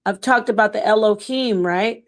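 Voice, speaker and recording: A woman's voice: mid-range voice, moderate pace. A middle-aged woman hosting a casual panel discussion, thoughtful and hesitant, often restarting her sentences. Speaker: panel host.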